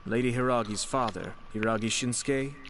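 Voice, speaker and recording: A man voicing a composed, courteous young noble lord in a video game, with polished, formal, measured speech. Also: mid-range voice, fairly even intonation, a bit bright, slight background noise, close to an everyday voice. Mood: measured, cautionary.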